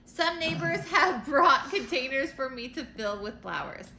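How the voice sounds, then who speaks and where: Lady reading as a cheerful little storybook girl, bright and earnest, bit of noise behind. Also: sits high, lively intonation, measured pace, voice pushed up, full character voice. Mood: proud. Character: eager, cheerful young girl writing letters home. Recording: noticeable background noise.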